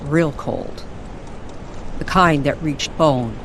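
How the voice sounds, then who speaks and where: Slow-paced female storyteller over noisy ambience. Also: low voice. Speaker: narrator. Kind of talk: scripted atmospheric story narration.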